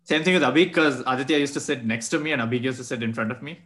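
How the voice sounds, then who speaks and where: A young man from Bangalore, telling stories in a relaxed casual chat about his student days. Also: mid-range voice, racing. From Bangalore, India. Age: young adult.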